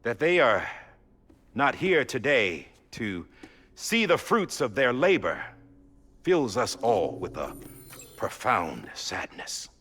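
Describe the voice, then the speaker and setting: An actor playing a solemn statesman giving a measured, rhetorical memorial address in a video game cutscene. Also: medium pitch, very animated, fairly bright tone. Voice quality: husky.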